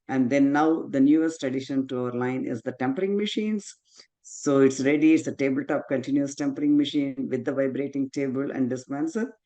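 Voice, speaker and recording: A man with a mellow, even tone presents his company on a webinar over a video call. He speaks with little melody, in a steady, plain and slightly halting way. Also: medium pitch. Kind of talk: webinar talk.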